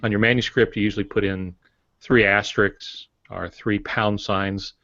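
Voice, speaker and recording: An older man co-hosting a writing podcast, explaining in a measured way with hesitant fillers. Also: fairly low pitch, steady tone, sharp tone, no room sound. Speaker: podcast co-host. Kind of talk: casual writing craft explanation. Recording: dry, dull lo-fi audio.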